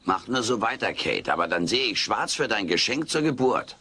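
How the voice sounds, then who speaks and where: A man playing a cheeky, melodramatic furry alien with a raspy, gravelly voice in a comedy audio drama. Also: fairly low pitch, big pitch swings, normal speed, over music. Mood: sulky, teasing.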